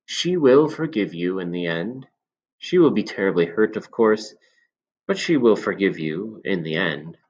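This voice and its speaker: A man voicing a sly elderly male potion seller who coaxes with smooth, insinuating persuasion. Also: low voice, animated, unhurried, darkish tone, muffled recording. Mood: coaxing.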